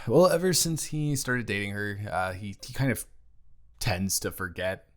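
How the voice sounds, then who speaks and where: A man playing a caring, awkward twenty-one-year-old best friend in an intimate audio roleplay, with halting, rambling, casual speech. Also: lowish voice, lively intonation. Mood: awkward, concerned. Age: young adult.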